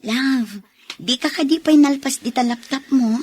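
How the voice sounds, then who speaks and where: Young wife role, female actor: Ilocano accent, coaxing and sulky, breathy higher voice, radio drama. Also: measured pace, a little mellow. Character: sulky young wife coaxing her busy husband to eat.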